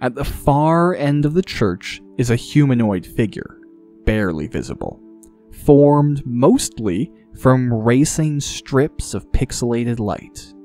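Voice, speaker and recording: A man's voice: mid-range voice, lively intonation, takes it slowly, warm tone. A man narrating a scripted fantasy audiobook in a dramatic storytelling style. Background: music.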